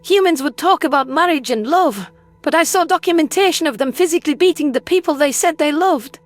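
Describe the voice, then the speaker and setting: A woman's voice: high-pitched, lively intonation, brisk, no room sound, faint music behind. A woman playing a traumatized, bitter female alien historian in an audio drama, agitated and intense, prone to stammering. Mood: bitter, horrified. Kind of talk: dramatized audiobook character acting.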